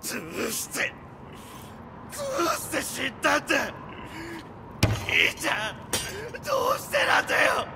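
An actor playing a grieving, vengeful young man in a video game, crying and shouting in a raw, broken voice. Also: very high-pitched, wildly swooping pitch, creaky, slight background noise, heavily acted. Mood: anguished, furious. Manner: drawn-out, raw, broken delivery. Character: anguished, vengeful young man mourning his brother. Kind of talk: dramatic video game acting.

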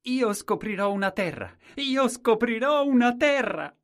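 A man's voice: mid-range voice, sing-song, measured pace, pitched above the performer's own voice. A man voicing a humble, simple-minded young man at a royal court, speaking meekly and crying out eagerly. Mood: excited shouting. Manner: soft, humble, wide-eyed replies, cries out eagerly.

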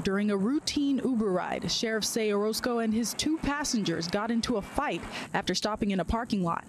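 A woman news reporter delivering a measured report, partly live from outdoors with a handheld mic. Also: a little high, a little hiss or hum. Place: outdoors at a gas station.

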